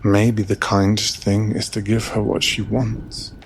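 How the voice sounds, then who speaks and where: A man playing a centuries-old, guilt-ridden vampire in a whispered audio drama, speaking in a soft, measured way. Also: lowish voice, completely flat delivery, a little hiss or hum. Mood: rueful. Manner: soft, breathy, measured delivery.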